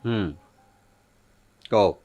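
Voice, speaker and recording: An actor playing a troubled, devoted young migrant-worker son in a drama, speaking earnestly with a colloquial rural Bangladeshi accent. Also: male voice, extremely slow, dark tone, dull audio, soft background music. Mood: guarded. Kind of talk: TV drama acting in dialect.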